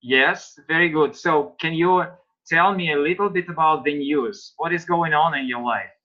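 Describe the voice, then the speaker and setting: A man with a bright, fairly high voice leads an online English lesson, putting slow, patient questions to his students. The audio sounds dull and lo-fi. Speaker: English teacher.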